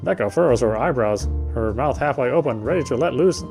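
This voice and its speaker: Man reading game text, soft music behind. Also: mid-range voice, animated, brisk, vocal fry. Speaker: game streamer reading aloud. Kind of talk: reading visual novel text aloud with casual asides.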